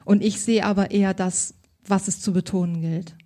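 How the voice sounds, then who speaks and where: A woman's voice: medium pitch, fairly even intonation, moderate pace, mellow. A woman working as a style consultant, answering interview questions in an enthusiastic, colloquial way.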